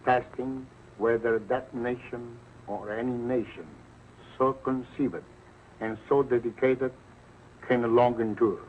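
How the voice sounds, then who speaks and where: An actor playing an eager, earnest immigrant with an Italian accent and broken English, in an early television sitcom. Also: male voice, mid-range voice, steady tone, slow pace, a little hiss or hum, close to an everyday voice. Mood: solemn. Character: eager, earnest immigrant man seeking citizenship. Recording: muffled old 1940s broadcast.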